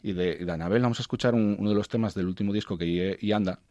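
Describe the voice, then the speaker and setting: A deep-voiced man with an Asturian accent talks informally about music as a radio guest. His delivery is halting, with words repeated while he looks for the next. The sound is close and dry. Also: a little mellow, no echo.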